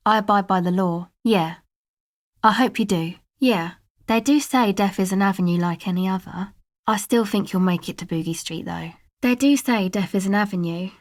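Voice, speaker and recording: A woman's voice: mid-range voice, no echo, natural voice. A woman voicing a laid-back young woman from a street rave crew in a video game, talking casually in a dry, hesitant, slangy way. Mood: guarded, matter-of-fact. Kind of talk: video game character dialogue.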